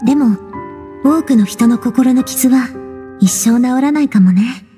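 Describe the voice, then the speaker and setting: A woman's voice: a little high, measured pace, dark tone, natural voice. An actress playing a frank, big-sisterly young woman in a video game, speaking breezily and directly. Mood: frank, consoling. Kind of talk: Japanese video game voice acting. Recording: reverberant hall-like echo. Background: faint music.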